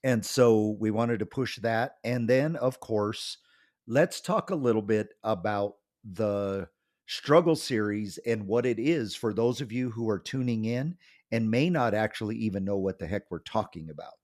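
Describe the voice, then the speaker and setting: Male podcast host, low voice, nursing a cold, casual and rambling. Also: a little slow. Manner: relaxed, rambling, many ums. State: has a cold, voice may crack. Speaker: pastor and author.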